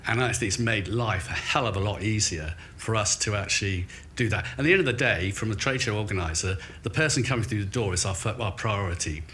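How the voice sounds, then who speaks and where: Man, British accent, low bright forceful voice, emphatic panel talk, faint room noise. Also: fairly quick, few pauses. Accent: British English. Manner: loud, emphatic, anecdotal. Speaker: trade show organiser on a panel. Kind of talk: panel discussion. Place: event venue in central London.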